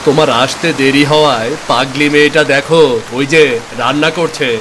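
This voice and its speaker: Man acting a gentle, ailing cartoon father. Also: a little high, steady tone, a little fast, background noise, lightly acted. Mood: fond, concerned. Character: gentle, ailing husband and father, affectionate and trusting. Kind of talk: cartoon moral-story dialogue. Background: music.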